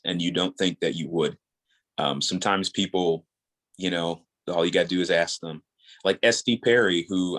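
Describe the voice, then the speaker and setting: A man with a notably low, deep voice speaks as a guest in a casual interview. He is earnest and rambling, circling back and repeating words as he thinks aloud. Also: a bit bright. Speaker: podcast guest, audio drama creator.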